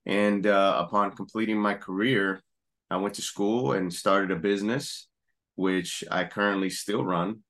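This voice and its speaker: A middle-aged man from Puerto Rico speaks in a very low voice. He tells his story in a relaxed, conversational way, often restarting his sentences as he goes. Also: measured pace. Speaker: guest, apparel company founder. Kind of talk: conversational interview.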